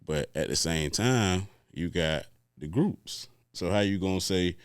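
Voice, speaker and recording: Deep-voiced man in his forties. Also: unhurried, smooth, no room sound, studio quality. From Orlando, Florida. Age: 40s. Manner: relaxed, thinks aloud with restarts. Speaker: DJ guest. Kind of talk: casual interview chat.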